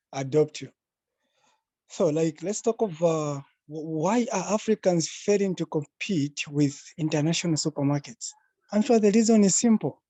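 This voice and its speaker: A man's voice: a little high, warm tone, no room sound. A young man from Zambia, a participant asking a question in an online meeting, earnest and repeating words as he finds his phrasing. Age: young adult. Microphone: video call.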